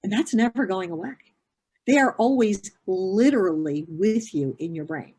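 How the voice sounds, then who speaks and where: A woman's voice: medium pitch, normal speed, warm tone, breathy edge. A woman in her fifties, a grief therapist, answering interview questions in an earnest, explanatory way with many fillers and restarts. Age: 50s.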